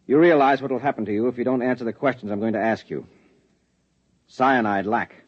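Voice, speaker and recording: Guy doing a cold threatening earthman, low voice, husky, muffled old radio sound. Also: fairly quick, close to an everyday voice. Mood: menacing. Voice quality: cold, clipped, menacing. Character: hard, threatening male Earthman interrogator. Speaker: husband in a radio play. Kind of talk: 1950s radio drama acting. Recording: dull vintage radio recording.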